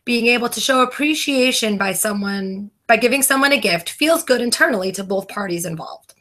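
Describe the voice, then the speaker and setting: A woman's voice: on the high side, lively intonation, fairly quick, fairly bright tone. A young woman from New Jersey reading a prepared debate presentation, carefully listing items one by one. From New Jersey, USA. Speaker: debate participant.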